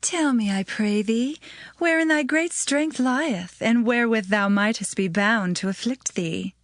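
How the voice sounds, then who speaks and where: An actress playing a seductive, insistent temptress in a Bible audio drama, pleading in archaic language. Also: female voice, a little high, a little slow, no echo, clean studio sound. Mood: coaxing.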